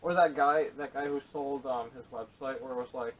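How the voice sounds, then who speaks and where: A man's voice: medium pitch, steady tone, normal speed. A man chatting casually on a podcast in a blunt, opinionated way, recorded through a distant camera microphone. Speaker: podcast panelist. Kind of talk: casual chat. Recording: distant camera audio with noise.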